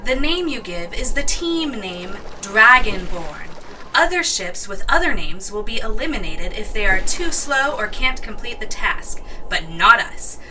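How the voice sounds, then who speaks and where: A woman's voice: mid-range voice, big pitch swings, measured pace, far from the mic, noisy background. A woman playing an imperious, scheming princess in an audio drama, formal and commanding. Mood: formal, assured. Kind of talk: audio drama acting, formal welcome speech.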